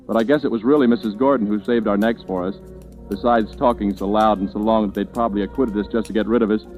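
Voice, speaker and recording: Actor as a wry, low-voiced radio drama narrator. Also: male voice, close to an everyday voice, rough recording. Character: relieved young man narrating his tale's wry ending. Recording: old radio recording with loud music.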